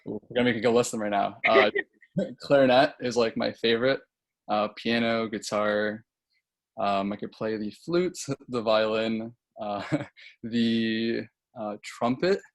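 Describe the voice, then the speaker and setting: A man's voice: on the low side, unhurried. A young man introducing himself on a student panel, hesitant with fillers. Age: young adult. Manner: hesitant, laughing, filler-heavy. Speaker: student group president. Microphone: video call.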